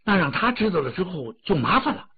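A man's voice: sits high, very animated, fast talker, slightly rough, narrow, tinny audio, full character voice. A man voicing Lady Yin, an anxious noble mother who talks in a worried, pleading way. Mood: secretive.

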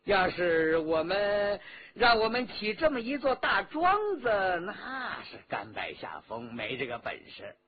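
A sly villainous official acted out by a man telling a tale drawls with mock humility. The husky voice swoops up and down as it taunts. The audio is dull and lo-fi. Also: high-pitched, huge pitch swings, a little slow, exaggerated voice. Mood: mocking, threatening. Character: sly, sneering male government agent, mockingly humble villain.

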